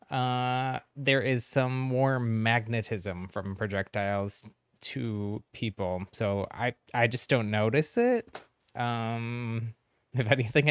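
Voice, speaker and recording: A man's voice: medium pitch, clear, resonant tone, no room sound. A man hosting a livestream, chatting casually with viewers in a friendly, easygoing way. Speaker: game streamer, host.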